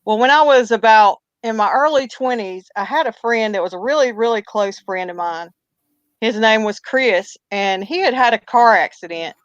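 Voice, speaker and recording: A woman's voice: fairly high pitch, normal speed, no room sound. A woman, chatting casually and telling a rambling personal story. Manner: rambling, talks through restarts and hesitations. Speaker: co-host telling ghost stories. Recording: muffled lo-fi audio.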